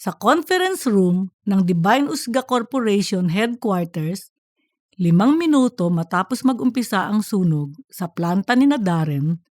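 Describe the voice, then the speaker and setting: A woman's voice: medium pitch, a little mellow, no echo, clean studio sound. A woman narrating a fictional story from a script, like an audiobook.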